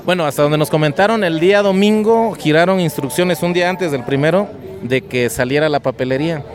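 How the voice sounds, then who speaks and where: A man with a Mexican accent, a teacher giving an earnest interview at a street protest, speaking with many "eh" fillers. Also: a little high. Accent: Mexican Spanish. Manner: earnest, measured, with frequent filler "eh" and "pues".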